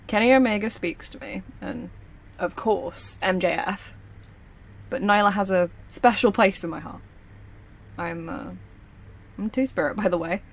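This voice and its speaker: Blunt, brisk operative, mid-pitched. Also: female voice, creaky, low-quality recording. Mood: curious, keen. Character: blunt, observant, confident young security operative. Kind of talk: naturalistic audio drama acting.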